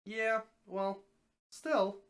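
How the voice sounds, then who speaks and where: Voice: medium pitch, drawn-out words, fairly bright tone. A reader voicing an ordinary man in a story, hesitant and casual, wary but hopeful and prone to mild grumbling.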